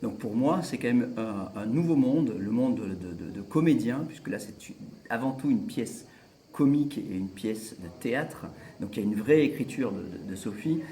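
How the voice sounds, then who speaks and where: A man, an opera singer acting in a comedy, speaking in an interview with halting repetitions. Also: medium pitch, animated, dark tone, reverberant, slight background noise. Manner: halting, repeats words, good-humored. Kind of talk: festival interview, casual chat.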